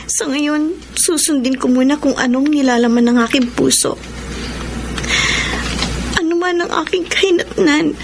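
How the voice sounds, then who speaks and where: An actress with a Filipino accent playing an earnest, sorrowful young woman torn in love in a sentimental radio drama, with emotional, halting delivery. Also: high voice, gravelly, noisy background. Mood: pensive. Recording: radio drama with music underneath.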